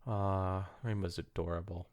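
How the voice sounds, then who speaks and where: A man's voice: low-pitched, steady tone, a little slow, darkish tone, lo-fi sound. A man co-hosting a podcast, bantering casually in the middle of a story reading. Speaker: podcast co-host.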